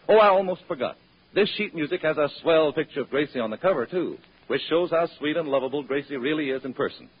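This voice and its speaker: An American man announcing in polished old-time radio advertising style, with a smooth sales delivery. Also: fairly high pitch. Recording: dull old radio broadcast recording.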